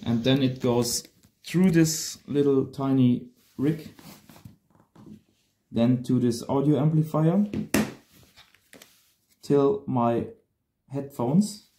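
Male vlogger, thirties, German accent, mellow tone, unhurried casual explainer delivery. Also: mid-range voice, a little hiss or hum. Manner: simple non-native English phrasing. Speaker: amateur radio vlogger.

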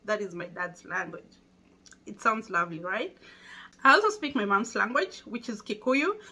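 A woman's voice: medium pitch, animated, normal speed, bright, forceful tone, breathy edge. A young Kenyan woman with a Kenyan accent, talking casually to camera in a small indoor room and patiently explaining things. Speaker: vlogger.

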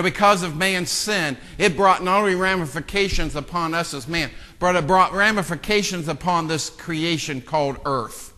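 Impassioned pastor, bright voice, long reverb. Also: male voice, a little high. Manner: swings from hushed asides to loud impassioned preaching; self-deprecating joking with the congregation. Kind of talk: sermon. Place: church sanctuary. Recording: long church-hall reverb.

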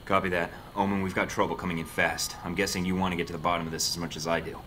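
Low-voiced cool American secret agent. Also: male voice, little pitch movement, racing, slight background noise. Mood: dry, businesslike. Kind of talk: video game voice acting. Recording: loud music behind the voice.

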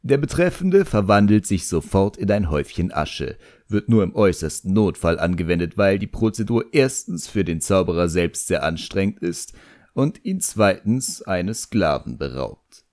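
A man's voice: low-pitched, very animated, moderate pace, darkish tone, no room sound, studio quality. A man narrating an audiobook story in the first person with a wry, scripted delivery.

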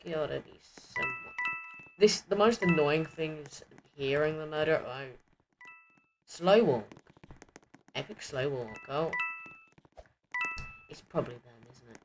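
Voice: medium pitch, lively intonation, takes it slowly, long pauses, music in the background, poor audio. A person giving casual gameplay commentary, muttering and thinking aloud. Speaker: gamer recording gameplay.

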